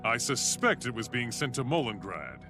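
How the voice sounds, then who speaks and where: An actor, a man, playing a thoughtful, studious scholar in a video game, reasoned and deliberate. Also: medium pitch, sing-song, over music. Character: thoughtful, studious male scholar of a research order.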